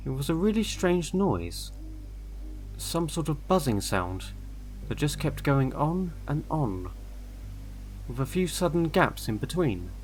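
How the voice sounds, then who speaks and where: Slow-paced man narrating a horror story. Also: medium pitch. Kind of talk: scripted first-person horror narration. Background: eerie background music.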